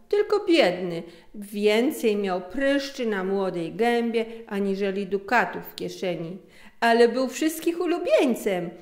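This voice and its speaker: A middle-aged woman narrating a scripted story in an unhurried, expressive manner. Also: a little high. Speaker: author and narrator. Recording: roomy, echoing sound.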